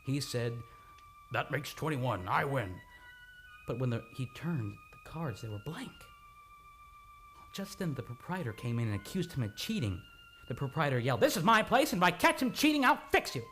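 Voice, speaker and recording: A man playing a troubled, agitated amnesiac in an old-time radio drama, speaking haltingly and repeating his words. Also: medium pitch, big pitch swings, fairly bright tone. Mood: haunted, intense. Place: radio studio, live radio play. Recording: dramatic music under the voice.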